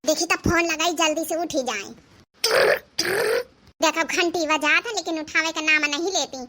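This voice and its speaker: A woman's voice: high voice, moderate pace, sharp tone. A woman voicing a fretful, put-upon eldest daughter-in-law who grumbles in Awadhi-accented comic cartoon dialogue. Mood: worried, muttering.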